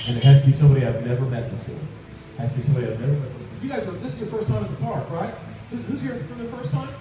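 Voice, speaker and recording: A high-pitched man works as a children's magician, repeating his phrases in theatrical, teasing build-ups. He is recorded from a distance in a very echoey space, with clear noise around him. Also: rapid-fire. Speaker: children's puppet show magician and host. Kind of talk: live children's magic show patter.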